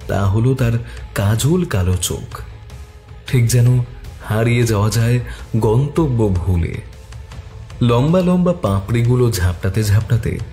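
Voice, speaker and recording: A man tells a detective story in the first person, reading with lively expression. His voice is mellow and mid-pitched. Soft music plays quietly beneath him. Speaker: narrator and detective's companion. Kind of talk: scripted story narration.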